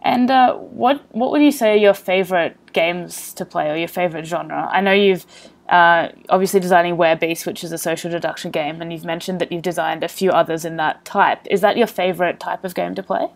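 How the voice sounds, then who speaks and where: Woman hosting a podcast, low-ish voice, friendly upbeat questions. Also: fairly quick, gravelly. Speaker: podcast host. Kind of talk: podcast interview.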